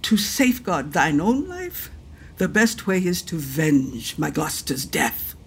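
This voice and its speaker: An actress playing a grieving older widowed duchess in a Shakespearean radio drama, speaking husky, emotional formal verse. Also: female voice, deep voice, big pitch swings, measured pace, slight background noise, heavily acted. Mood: urgent, bitter.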